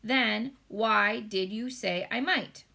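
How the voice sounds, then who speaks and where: A woman's voice: medium pitch, takes it slowly, gravelly, sharp tone, heavily acted. A woman voicing a small, curious storybook girl, insistent and full of questions. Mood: questioning. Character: small, curious, pleading, bold young girl.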